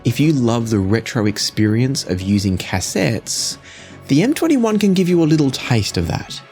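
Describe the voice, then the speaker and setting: A man in his forties giving a measured, conversational product review to camera, explaining things as he goes. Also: medium pitch, sing-song, over music. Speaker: audio gear reviewer. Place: small indoor home studio room.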